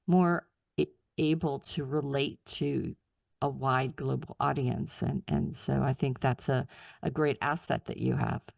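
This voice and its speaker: A woman's voice: extremely low pitch, gravelly, a little mellow, no room sound, studio quality. A retired woman in her sixties hosting a podcast, upbeat and encouraging toward her guest. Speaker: podcast host. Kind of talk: casual interview talk.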